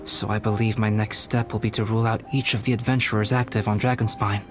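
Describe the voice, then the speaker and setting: An actor playing a calm, analytical young alchemist in a video game, speaking in a measured, polite way. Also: male voice, lowish voice, close to an everyday voice. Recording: game audio with music.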